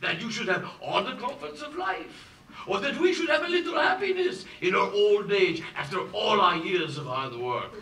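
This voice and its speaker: An actor playing a domineering but soft-hearted old village father, exclamatory and sarcastic, in a musical film. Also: sits high, big pitch swings, moderate pace, few pauses, full character voice. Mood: resigned. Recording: echoey film soundtrack, distant, music behind.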